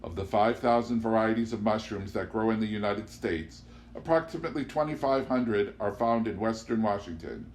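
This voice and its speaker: A man reading a literary novel aloud in an expressive storytelling style. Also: fairly low pitch, normal speed. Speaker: audiobook reader. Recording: clear background noise with hum.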